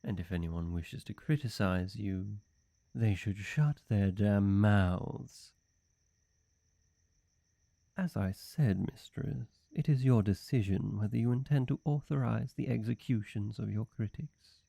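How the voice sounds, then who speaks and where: A man playing a suave, flirty, fanatically devoted demon servant in a roleplay audio, speaking to his mistress in a deliberate, deferential way. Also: lowish voice, gravelly, warm tone, no room sound. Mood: fanatical reverence. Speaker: voice actor. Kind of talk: scripted roleplay audio addressed to the listener.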